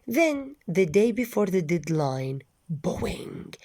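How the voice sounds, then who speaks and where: Mellow-voiced woman teacher explaining a story. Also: on the low side, very animated, slow pace. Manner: patient, restates words with synonyms, explains vocabulary. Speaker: English teacher. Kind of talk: reading a story aloud and explaining it to students.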